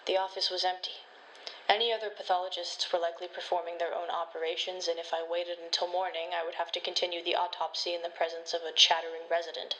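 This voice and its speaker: A woman performing a horror story as an uneasy forensic pathologist, speaking in a measured, deliberate way with dramatic pauses. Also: pushed and bright. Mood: uneasy, resolute. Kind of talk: scripted first-person horror narration. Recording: noisy low-quality home microphone recording.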